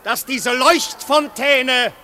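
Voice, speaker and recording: A high-pitched, grandiose marquis orator. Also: male voice, drawn-out words, exaggerated voice. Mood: grandly teasing. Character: theatrical male marquis host, mockingly polite and fearless. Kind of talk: 1950s German radio play acting, declamatory speech. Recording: 1950s radio recording, loud crowd and noise.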